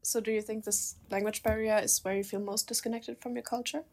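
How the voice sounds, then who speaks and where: A young woman with a German accent leading a casual conversation in an earnest, measured way. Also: a little high, level tone, darkish tone. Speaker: discussion moderator.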